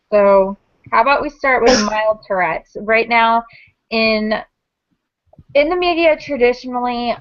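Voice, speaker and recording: A woman's voice: mid-range voice, no room sound. Young woman hosting, dull dry sound. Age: 20s. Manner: measured, explanatory, with small restarts. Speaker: host of a panel discussion. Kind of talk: casual group video chat.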